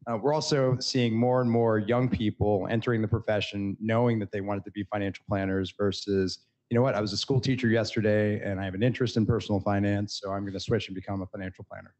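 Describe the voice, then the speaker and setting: A man answering as a panelist, brisk and to the point. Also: on the low side, fairly even intonation, darkish tone, low-quality recording. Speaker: panelist, association executive.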